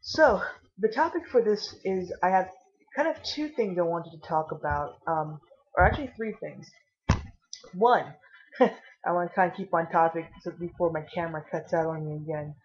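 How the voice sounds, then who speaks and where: Low-voiced tired woman rambling. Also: moderate pace, muffled recording. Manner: rambling, chatty, swears casually. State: tired and caffeinated. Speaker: vlogger. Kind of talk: casual rambling vlog.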